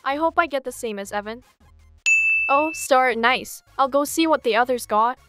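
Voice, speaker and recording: A woman's voice: high-pitched, moderate pace, loud music behind the voice, lightly acted. A synthetic woman's voice playing a devoted teenage girlfriend in a scripted chat story, with neat read-out delivery. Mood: hopeful. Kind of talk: scripted text-to-speech chat story dialogue.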